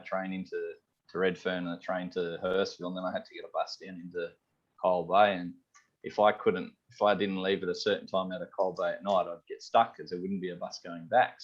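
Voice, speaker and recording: A man from Sydney with an Australian accent, a head chef chatting casually in an interview, rambling with lots of "you know" and "um". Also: deep voice. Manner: rambling, relaxed, many fillers like "you know" and "um". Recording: slightly dull lo-fi audio.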